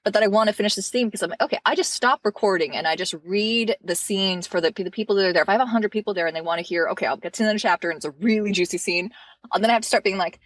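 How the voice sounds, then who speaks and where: Woman, audiobook narrator guest; low smoky tone, lively delivery, dry booth sound. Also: no room sound. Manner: animated, laughs often, fast asides. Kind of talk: casual interview chat.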